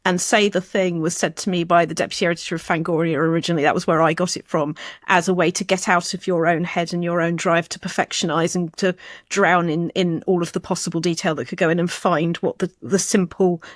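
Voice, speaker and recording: A woman's voice: on the low side, fairly even intonation, brisk, dry recording, clean studio sound. A woman hosting an interview, reflecting conversationally on her guest's points in long, rephrased sentences and inviting agreement. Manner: discursive, rephrases mid-sentence, seeks agreement. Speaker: interviewer, podcast host.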